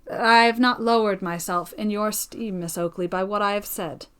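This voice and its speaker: A woman reading a storybook voices a devoted, cautious gentleman suitor who pleads in formal period speech. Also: medium pitch, normal speed, clean tone, close to an everyday voice. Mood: restrained longing.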